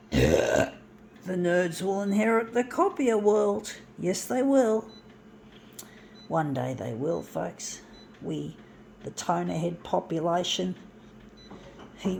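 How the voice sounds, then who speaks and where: A woman's voice: fairly low pitch, creaky, darkish tone, a little hiss or hum. Rambling, frustrated woman in her fifties. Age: 50s. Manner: self-deprecating, frustrated, swears, trails off and restarts. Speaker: vlogger. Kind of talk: rambling confessional monologue. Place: indoors, room with a copier.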